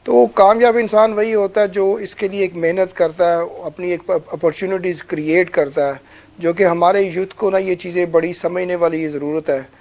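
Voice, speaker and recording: A man's voice: high-pitched, steady tone, normal speed, creaky, darkish tone. A man with a Pakistani accent giving conversational interview answers, earnest and motivational, repeating phrases for emphasis. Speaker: businessman guest.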